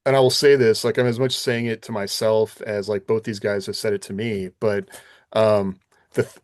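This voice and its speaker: A man co-hosting a podcast, giving encouraging advice in a halting, conversational way. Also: lowish voice, little pitch movement, a little mellow, no room sound, clean studio sound. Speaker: podcast co-host. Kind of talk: casual chat, encouraging advice.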